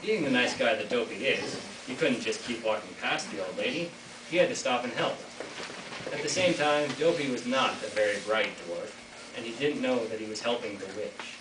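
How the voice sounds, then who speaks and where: A man's voice: mid-range voice, normal speed. A teenage boy reading scripted fairytale narration for a school readers' theater. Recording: echoey noisy hall from far off.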